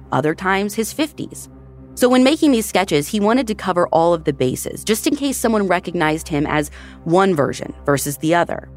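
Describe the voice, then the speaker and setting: A woman hosting a true crime podcast, narrating the case in a conversational way and speaking directly to her listeners. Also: lowish voice. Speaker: podcast host.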